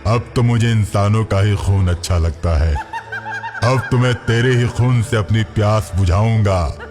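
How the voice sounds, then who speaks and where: A man's voice: fairly low pitch, measured pace, voice pushed down, natural voice. A man voicing a menacing, bloodthirsty graveyard jinn in a cartoon story, threatening and commanding. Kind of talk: cartoon story villain acting. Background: background music.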